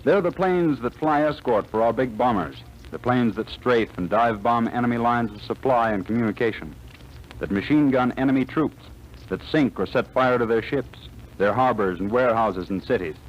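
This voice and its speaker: A man's voice: medium pitch, normal speed, highs cut off. A man narrating a 1940s radio drama in a dramatic, announcer-like manner.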